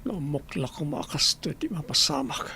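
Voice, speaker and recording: A man's voice: fairly high pitch, expressive, normal speed, bright, forceful tone, slight background noise, heavily acted. A man playing a meek, pleading character short of money, with an Ilocano accent, in a radio drama.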